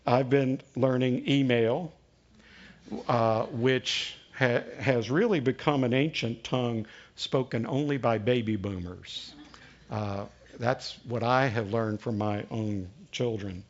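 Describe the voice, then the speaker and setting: A man's voice: mid-range voice, takes it slowly, highs cut off. Folksy Southern pastor in his sixties. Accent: Southern American. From American South. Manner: folksy storytelling with frequent uh fillers. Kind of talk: sermon.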